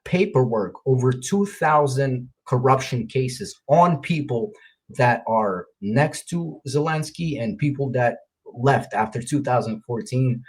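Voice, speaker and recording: Husky Ukrainian-born podcast host, rambling. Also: male voice, mid-range voice, unhurried, rough recording. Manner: rambling with many fillers and restarts. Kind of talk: casual interview chat.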